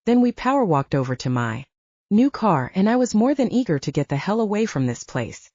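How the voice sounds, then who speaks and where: A woman's voice: medium pitch, sing-song, fairly quick, darkish tone, dry recording, highs cut off. A woman reading a first-person audiobook story as its narrator. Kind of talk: first-person fiction narration with acted dialogue.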